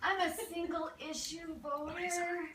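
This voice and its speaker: Puppet dad, played by a woman: easygoing, muddled, deliberate delivery, picked up from across an echoey, noisy room. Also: extremely high pitch, flat delivery, fairly bright tone. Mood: earnest, mild. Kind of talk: amateur comic puppet show acting. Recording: roomy, distant, noisy live party recording.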